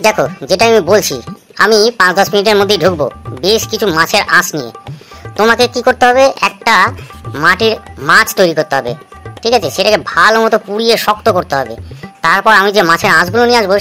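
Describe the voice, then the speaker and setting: A woman's voice: mid-range voice, animated, quick pace, a bit bright, faint music behind, close to an everyday voice. A woman voicing an aggrieved, scheming village father in a cartoon, talking in a chatty, wheedling way. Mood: chatty, instructing. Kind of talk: cartoon voice acting.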